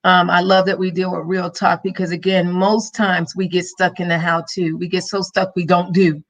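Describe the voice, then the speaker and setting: A woman's voice: fairly low pitch, level tone, moderate pace. A woman podcast host and minister giving a live-streamed motivational talk, emphatic and preachy, with a faith-filled rhythm.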